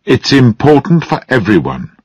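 A man voicing an earnest grown daughter who softly questions her father, in an audiobook. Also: medium pitch, huge pitch swings, normal speed, breathy edge, no echo, pitched above the performer's own voice. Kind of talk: audiobook character dialogue.

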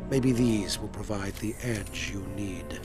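An actor playing a cold, solemn armored scientist villain in a video game, slow and detached. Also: male voice, on the low side. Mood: cool, offering. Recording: music behind the voice.

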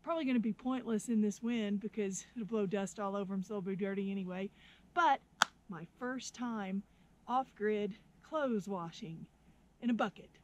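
A woman's voice: medium pitch, moderate pace, dull audio, a little hiss or hum. A middle-aged woman, a history teacher, chatting casually and laughing easily while filming herself outdoors at arm's length. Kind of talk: casual outdoor vlog chat. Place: outdoors at a windy off-grid camp. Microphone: camera at arm's length.

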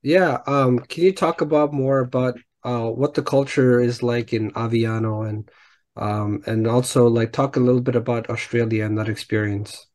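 A young man hosting a podcast interview from home on a headset, speaking haltingly with many restarts. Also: medium pitch, steady tone, a little mellow. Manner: hesitant, many fillers and restarts. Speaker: podcast host. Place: home room, indoors. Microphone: headset on video call.

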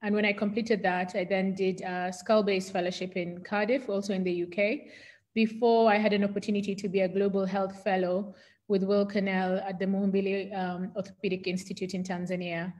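A woman's voice: medium pitch, little pitch movement, fairly quick, a little mellow. Articulate Kenyan woman explaining her profession. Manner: articulate, explanatory, defines medical terms. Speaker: neurosurgeon interview guest. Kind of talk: conversational explanation in an interview.

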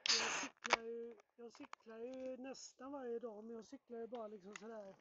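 A man's voice: very high voice, level tone, pushed and bright, highs cut off. Easygoing man chatting outdoors over road noise. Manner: easygoing and chatty, answers with short agreeing remarks. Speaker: visiting cycling companion. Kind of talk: casual conversation. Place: outdoors on a bike trip.

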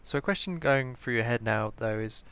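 A man's voice: mid-range voice, normal speed, vocal fry, fairly bright tone, clean tone, dry recording. A British man giving scripted instructional narration as the presenter of a training tutorial. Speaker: information librarian, tutorial presenter.

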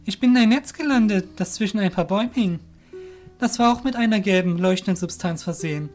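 An earnest, puzzled young male captive put on by a male narrator in a fantasy audio play. The voice is pitched well up, with faint music behind it. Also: fast, a little mellow, lightly acted. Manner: questioning, earnest. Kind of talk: fantasy audio drama character voice.

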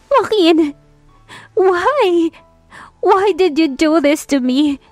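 A woman voicing a jealous, furious young woman, a scorned rival in love, shrill and dramatic, in an audiobook. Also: animated, very slow, dry recording, faint music behind, heavily acted. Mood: anguished anger. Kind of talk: dramatic audiobook character acting.

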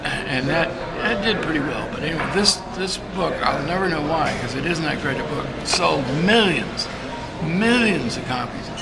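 An older man, a photographer being interviewed, reminiscing in an unhurried, reflective way with frequent restarts. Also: fairly high pitch, animated, creaky. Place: café. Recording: loud café background noise.

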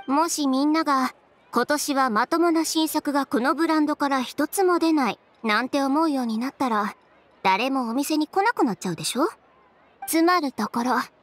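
An actress playing a confident, sharp-tongued young fashion designer in a video game, brisk, matter-of-fact and dryly teasing. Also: female voice, high-pitched, no room sound. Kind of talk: video game voice acting in Japanese. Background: game music.

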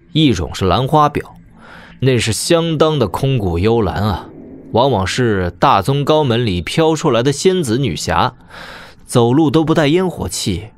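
A man's voice: mid-range voice, dry recording, natural voice. A man playing a wry, easygoing young noble swordsman in a martial-arts audio drama, chatting in a teasing, conversational way.

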